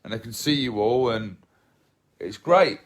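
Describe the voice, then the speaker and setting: A man in his thirties from England, hosting a casual livestream from his phone at home, soft-spoken and rambling, often repeating himself as he reads comments. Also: medium pitch, a little slow. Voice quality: relaxed voice. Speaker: livestream host. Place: small living room at home, indoors. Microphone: phone held at arm's length.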